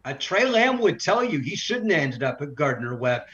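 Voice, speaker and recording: A man's voice: a little high, big pitch swings, normal speed, fairly bright tone. A man giving conversational sports analysis as an interview guest, a broadcaster who makes his points emphatically in runs. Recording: slightly dull, lo-fi audio.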